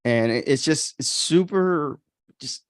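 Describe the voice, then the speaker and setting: A man's voice: on the high side, expressive, slow pace, dry recording, studio quality. A man chatting informally as a podcast host, rambling through his commentary with frequent filler words and some mild swearing. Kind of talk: casual chat, commentary.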